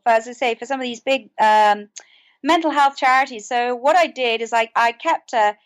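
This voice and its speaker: A British woman speaking conversationally as an interview guest, explaining things in an earnest way. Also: sits high. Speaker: podcast guest, author and mental health advocate.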